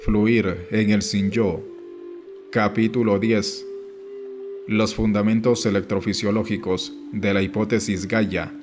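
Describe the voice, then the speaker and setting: A man narrating a nonfiction audiobook in a measured, formal reading style. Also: lowish voice, flat delivery. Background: background music.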